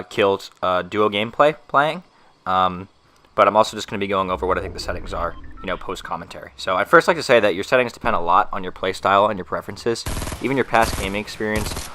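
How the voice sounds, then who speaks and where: A man's voice: on the low side, pushed and bright, slight background noise. A young man with a stuffy nose from a cold, talking loosely through a gaming tutorial voice-over with many fillers and restarts. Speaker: gaming YouTuber.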